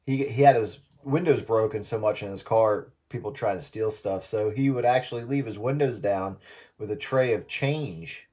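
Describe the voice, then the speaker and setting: A man's voice: fairly low pitch, moderate pace, a little mellow. An American man chatting casually and sharing short anecdotes. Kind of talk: casual chat.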